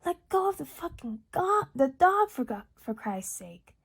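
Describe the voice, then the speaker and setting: A woman's voice: sing-song, a little slow, gravelly, exaggerated voice. A woman doing a shrieking furious neighbor woman. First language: Urdu. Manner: shrill, screaming. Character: furious middle-aged woman neighbor shouting.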